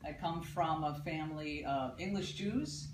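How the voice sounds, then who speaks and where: Loud woman from Paterson, New Jersey, spinning a live stage story; echoey hall, mic set back. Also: low-pitched, unhurried, slight background noise. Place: theatre stage with live audience. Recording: roomy, distant mic, audible ambience.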